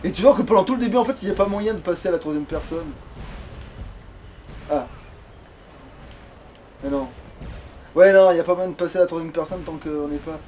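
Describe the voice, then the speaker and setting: A man's voice: sits high, a little fast, pauses often, mellow. A man chatting casually as he plays a game, grumbling and swearing. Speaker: let's play gamer. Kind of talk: casual gaming chat. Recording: clearly noisy home recording.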